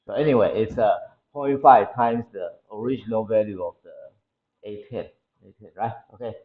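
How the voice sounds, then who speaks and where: A man with a Taiwanese accent lecturing to a class, explaining technical material haltingly and often repeating his phrases. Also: lowish voice, phone-quality sound. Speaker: university lecturer. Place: indoor classroom.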